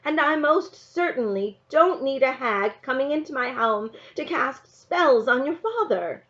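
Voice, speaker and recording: Woman reading as strict worried country mom, firm and emphatic, high voice, bit lo-fi. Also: lively intonation, unhurried, fairly bright tone. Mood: firm, exasperated. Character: stern, worried rural mother scolding her child. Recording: slightly dull, lo-fi sound.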